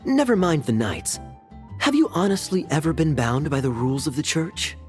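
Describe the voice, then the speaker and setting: A teasing young knight for a game; playful, wry, with lively rise and fall. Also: male voice, on the high side, moderate pace, faint music behind. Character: sly, teasing young male knight captain. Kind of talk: video game voice acting.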